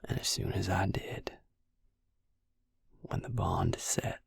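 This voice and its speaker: A man playing a protective, exasperated vampire in an intimate audio roleplay, speaking slowly and wryly in a low, breathy near-whisper. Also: wildly swooping pitch, no room sound, heavily acted. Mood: grave, earnest. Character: protective, exasperated male vampire tending a wounded werewolf. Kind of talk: intimate audio roleplay acting, addressed to the listener.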